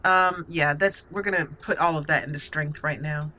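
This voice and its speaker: A woman's voice: low voice, steady tone, normal speed, sharp tone. A woman hosting a roleplay let's play of a fantasy game, thinking aloud as she muses over her choices. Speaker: gaming channel host and player.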